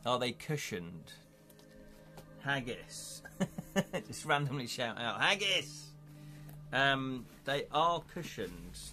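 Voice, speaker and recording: A man with an English accent hosting a casual live stream, chatty and excitable, chuckling often as he unboxes things at home. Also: mid-range voice, slow pace, pauses often, soft background music. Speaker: livestream host.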